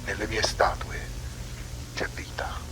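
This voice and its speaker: A man's voice: slow pace, in a whisper, natural voice, rough recording. A man playing a solemn, fanatically religious sculptor in a horror drama, speaking in a grandiose, brooding, dramatic way. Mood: brooding, zealous. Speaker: talk show guest. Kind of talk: horror drama monologue in interview form.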